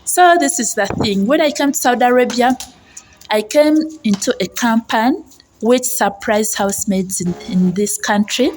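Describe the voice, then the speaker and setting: A woman's voice: a little high, normal speed. A woman from Uganda with a Ugandan accent talks to viewers in a chatty personal vlog, often repeating phrases for emphasis. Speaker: vlogger and housemaid. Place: outdoors on a residential street. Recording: noisy outdoor ambient sound. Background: outdoor ambience noise.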